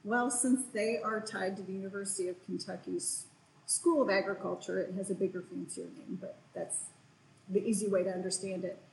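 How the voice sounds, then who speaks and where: A woman's voice: mid-range voice, steady tone, a little fast, gravelly, darkish tone, reverberant, a little hiss or hum, low-quality recording. A woman, a historic site manager, answering interview questions in an informative, matter-of-fact way.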